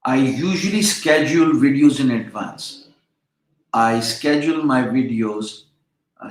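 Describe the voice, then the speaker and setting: A man's voice: on the high side, fairly bright tone. Chatty man lecturing in an echoey room. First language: Urdu. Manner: rambling, chatty, many fillers and restarts. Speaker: online freelancing instructor. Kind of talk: casual live-stream lecture.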